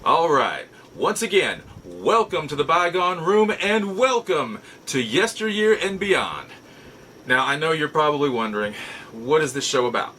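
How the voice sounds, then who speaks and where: A man with a fairly high, very animated voice hosts a casual vlog. He talks in a playful, theatrical way, stretching words out for emphasis. The delivery is bright and forceful, over faint background noise. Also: unhurried. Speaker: host of a nostalgia collectibles show. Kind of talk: casual scripted-feel vlog monologue.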